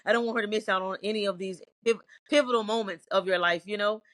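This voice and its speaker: A woman's voice: medium pitch, steady tone, fast talker, a bit bright, no echo. A middle-aged woman singer from Virginia, answering interview questions in a reflective, earnest way with frequent restarts.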